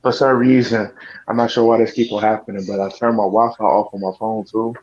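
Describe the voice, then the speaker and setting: A man co-hosting a casual gaming podcast over a cell phone, talking in an easygoing, halting way with many ums. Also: lowish voice, little pitch movement, a little mellow. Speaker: podcast co-host. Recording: dull lo-fi phone audio.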